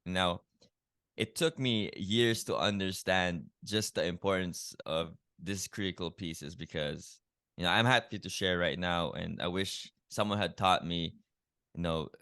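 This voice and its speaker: A man with a low, resonant voice tells his own story as a podcast guest. His tone is earnest and reflective, and he often uses filler phrases as he works through it. The sound is close and dry, with no room echo. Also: measured pace, sharp tone, clear, resonant tone. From Philippines. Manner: earnest, reflective, many "you know" fillers. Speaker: guest, keto health coach. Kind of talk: personal story in a podcast interview.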